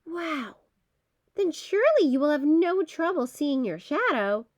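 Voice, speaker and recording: A woman voicing a frustrated, ailing male groundhog in a children's storybook, giving expressive instructions. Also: high voice, measured pace. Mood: eager. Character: sick, frustrated male groundhog seeking a stand-in.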